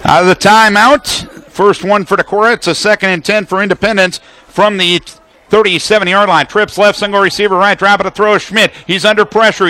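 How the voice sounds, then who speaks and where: A male play-by-play announcer with a high-pitched, bright and forceful voice calls live football for radio. He lays out the situation and the action in clipped, detailed phrases.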